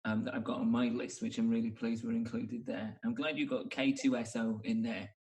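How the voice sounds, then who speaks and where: Male host, medium pitch, conversational and reflective, puts questions to others informally. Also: flat delivery, brisk, a little mellow, a little hiss or hum. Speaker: podcast host. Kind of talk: casual discussion.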